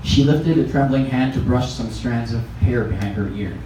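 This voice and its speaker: A man's voice: mid-range voice, normal speed. A man with a Filipino accent reads a story as a narrator at a live event. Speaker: reader at a literary event. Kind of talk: scripted fiction narration. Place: echoey room at a live event. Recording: echoey, distant mic, clearly noisy live event.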